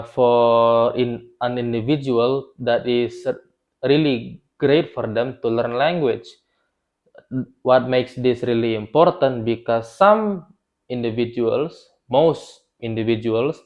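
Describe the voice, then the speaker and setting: A young man whose first language is Indonesian talks through an educational explainer at a mid-range pitch. He speaks loosely and off the cuff, restarting his sentences and filling pauses. The recording sounds a little dull and lo-fi. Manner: loose, unscripted, with frequent restarts and fillers. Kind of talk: explainer video on language learning.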